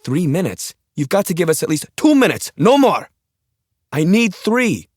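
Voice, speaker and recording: A man's voice: high voice, very animated, moderate pace, breathy edge, no echo, studio quality, pitched above the performer's own voice, exaggerated voice. A man voicing a weary American airline pilot, exasperated and pleading, in a thriller audiobook. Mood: urgent, exasperated.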